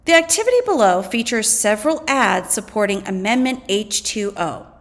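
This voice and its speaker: A woman, a teacher reading scripted educational text aloud in an expressive way. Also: medium pitch, moderate pace. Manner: expressive, acts out quoted slogans.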